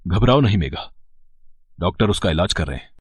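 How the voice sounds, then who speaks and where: A man voicing a worried, wealthy father in an animated story, speaking earnestly and reassuringly with a husky voice. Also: low voice, a little fast, dry recording, full character voice.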